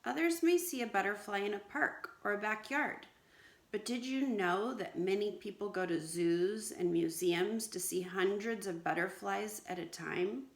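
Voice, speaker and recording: A woman's voice: medium pitch, a little slow. American woman teacher reading aloud, echoey room. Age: middle-aged. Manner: clear, simple explaining with questions to listeners. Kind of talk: read-aloud lesson for young children with explanations and questions. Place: small indoor room at home, seated on a bench. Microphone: camera or phone a short distance away.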